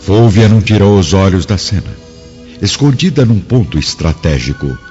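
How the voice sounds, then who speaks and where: A man's voice: low voice, animated, normal speed, a little mellow. A man with a Brazilian accent giving dramatic scripted narration for a radio drama. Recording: dull lo-fi audio with music.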